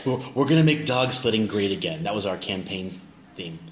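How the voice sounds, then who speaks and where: A middle-aged American man with a fairly low voice talks as an interview guest. He is informal and jokey. The sound is roomy, with clear noise around him. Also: lively intonation, moderate pace. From Kansas, United States. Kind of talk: casual conversational interview. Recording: roomy, clearly noisy location recording.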